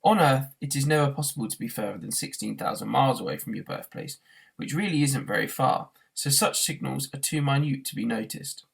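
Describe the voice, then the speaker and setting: A man reading a novel aloud as an expressive narrator, in the way of a teacher reading to a class. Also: medium pitch, brisk, vocal fry, slightly rough.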